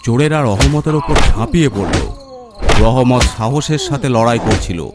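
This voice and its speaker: A man's voice: medium pitch, lively intonation, measured pace, over loud music. A man narrating a scripted horror story in a dramatic storytelling style.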